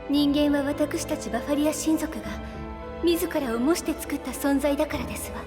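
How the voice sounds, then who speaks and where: A woman's voice: high-pitched, normal speed, close to an everyday voice. An actress playing an earnest, courteous young noblewoman in an anime game, with formal, ladylike phrasing. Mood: earnest, grateful. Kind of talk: Japanese anime game voice acting. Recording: long reverb, clear music behind.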